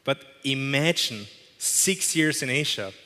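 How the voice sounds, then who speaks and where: Man, thirties, emphatic keynote, headset mic, bright tense voice, hall reverb. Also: mid-range voice, lively intonation, slow. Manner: emphatic, repeats phrases for effect. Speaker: keynote presenter. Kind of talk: corporate keynote speech. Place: large event hall with audience.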